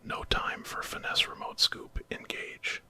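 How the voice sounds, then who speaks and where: Man playing a time-traveling alien hero, quick-witted, commanding, urgent, bright breathy whisper, ASMR storybook.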